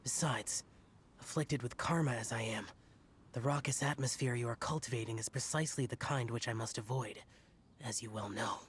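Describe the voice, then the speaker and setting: A man's voice: medium pitch, normal speed, fairly bright tone, slight background noise, natural voice. A man playing an aloof, brooding young immortal warrior in an anime video game, formal and solemn. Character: aloof, brooding youthful male immortal warrior, stern and reserved.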